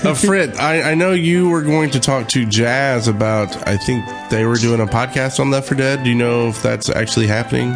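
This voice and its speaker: A man's voice: medium pitch, steady tone, moderate pace, hardly any pauses, over music. A man hosting a podcast in casual, unscripted chat. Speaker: podcast host.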